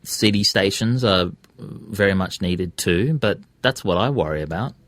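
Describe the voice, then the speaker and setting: A man's voice: low voice, a little slow, a bit bright, no echo. An Australian man speaking casually as an interview guest, earnest and thinking aloud as he gives his views. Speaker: interview guest, community radio presenter and podcaster.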